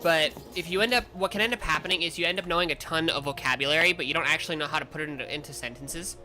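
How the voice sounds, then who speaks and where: A man streaming a game, chatting casually in a rambling, self-correcting way, with sudden loud outbursts at the game. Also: a little high, rapid-fire. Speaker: vtuber streamer. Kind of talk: casual gameplay chat. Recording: game music playing behind.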